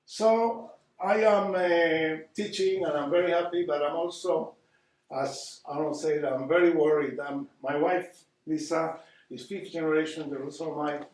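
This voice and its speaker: A man's voice: a little high, steady tone, a little slow, a little mellow. An older man from Argentina with an Argentine Spanish accent gives an earnest public lecture, often hesitating and repeating words as he searches for them. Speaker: guest speaker. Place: community hall. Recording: echoey hall, distant mic.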